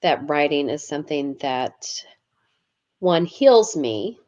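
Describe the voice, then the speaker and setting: A woman's voice: low-pitched, lively intonation, takes it slowly, darkish tone, highs cut off. An earnest woman guest talking about her experiences, often repeating words as she starts a phrase. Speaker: guest, yoga teacher and author. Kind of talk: casual interview conversation.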